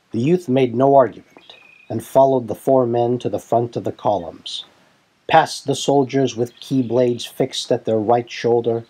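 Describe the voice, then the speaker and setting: A man reading a fan-fiction story aloud as narrator, in a dramatic, deliberate storytelling manner. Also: medium pitch, darkish tone, husky, rough recording.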